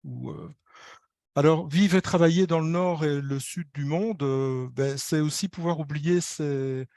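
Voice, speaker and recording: A man's voice: on the high side, fairly even intonation, a little slow, gravelly, a little mellow, no room sound, clean studio sound. A man giving an academic presentation over a video call, hesitant and repeating words as he searches for the next. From Belgium. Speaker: architecture lecturer and researcher presenting.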